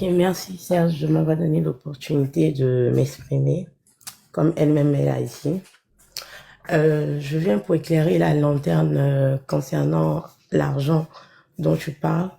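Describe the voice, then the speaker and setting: Gravelly, flu-weakened woman caller. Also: low voice, warm tone. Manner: halting, many fillers. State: has the flu, weak voice. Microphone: phone.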